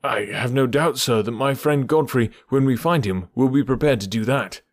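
A man's voice: medium pitch, moderate pace, no room sound, clean studio sound, pitched above the performer's own voice, natural voice. A man voicing an earnest, eager young man speaking about his missing friend in an audiobook. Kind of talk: audiobook character voice.

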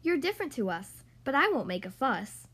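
A woman voicing a kind, protective mother duck in a children's storybook, speaking gently and reassuringly. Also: high-pitched, very animated, brisk, breathy edge, full character voice. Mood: gentle.

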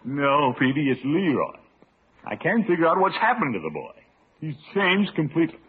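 A man's voice: fairly high pitch, big pitch swings, moderate pace, slightly rough. A man playing a blustery, fretful, pompous middle-aged uncle in an old radio comedy, with theatrical exclamations. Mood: worried, fretful. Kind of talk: 1940s-50s radio comedy acting. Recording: tinny, noisy old radio broadcast.

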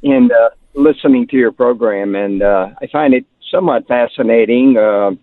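A man in his seventies calling in to a talk radio show, speaking hesitantly with many pauses. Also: medium pitch, no room sound. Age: 70s. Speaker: caller. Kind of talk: phone-in call. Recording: tinny phone line.

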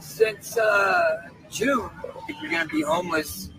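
A man's voice: very high voice, huge pitch swings, slow pace, creaky, music in the background. A man answering a street interview in a blunt, slangy, casual way, recorded outdoors. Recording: noisy outdoor street clip with traffic.

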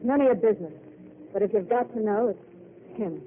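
A woman's voice: mid-range voice, moderate pace, close to an everyday voice. An actress as a dreamy young desert girl. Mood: defiant. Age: young adult. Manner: wistful, plain-spoken. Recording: tinny old radio broadcast with faint noise.

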